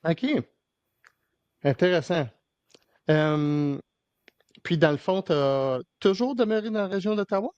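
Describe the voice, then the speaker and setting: A Québécois man hosts a podcast in a relaxed, halting way, full of fillers and restarts. His voice sits a little high. The recording is dry and muffled. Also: clean tone, no room sound. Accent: Québécois French. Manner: hesitant, many fillers and restarts. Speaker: podcast host. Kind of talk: casual interview.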